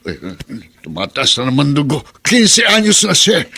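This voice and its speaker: A man's voice: a little high, wildly swooping pitch, normal speed, gravelly, bright, forceful tone, slightly rough, exaggerated voice. A man playing a stern, grumbling elderly grandfather, crotchety and emphatic, in radio drama style. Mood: indignant, warning. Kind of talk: Filipino radio drama acting.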